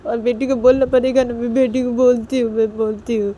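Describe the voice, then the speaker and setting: Woman doing a weepy clingy young mom, whiny n high, keeps begging, bit of background noise. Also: level tone, brisk, mellow, close to an everyday voice. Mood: tearful pleading. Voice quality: sobbing, whiny delivery. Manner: repeats short pleas insistently. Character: tearful clingy young mother, pleading and refusing.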